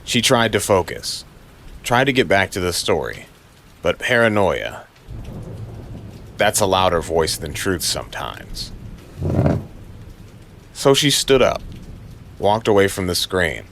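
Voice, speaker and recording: A man's voice: sits low, big pitch swings, slow, creaky, pauses often, fairly bright tone, a little hiss or hum. A man narrating a noir crime audio drama in a brooding, dramatic way with short clipped phrases.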